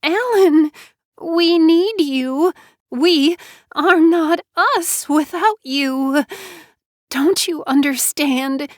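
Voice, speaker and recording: A woman's voice: high voice, very slow, no room sound, studio quality, voice pushed up. A woman voicing a grieving young woman who pleads tearfully in a storybook reading. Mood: desperate pleading.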